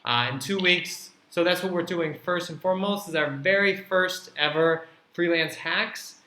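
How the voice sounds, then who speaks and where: A man's voice: sits high, moderate pace, sharp tone. Man hosting an online panel, echoey room. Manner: loose, filler-heavy explaining. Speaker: host and platform founder. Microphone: video call. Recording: echoey room with faint noise.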